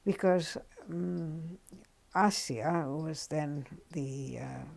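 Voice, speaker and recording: Older woman, Finnish accent, low gravelly voice, slow reflective oral history telling. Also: a little mellow. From Finland. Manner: unhurried and reflective, with frequent ums and restarts. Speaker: interviewee.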